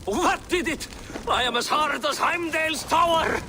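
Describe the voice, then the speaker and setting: An actor playing a lusty, boastful and excitable young Viking husband in a video game. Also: male voice, very high-pitched, unhurried, a little hiss or hum, heavily acted.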